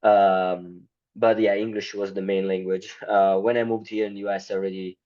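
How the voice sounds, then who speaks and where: Italian guy, deep voice, non-native English, slightly muffled sound; halting, filler-heavy answers. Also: flat delivery. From Italy. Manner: hesitant, many fillers, non-native grammar. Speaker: guest. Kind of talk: casual interview answers.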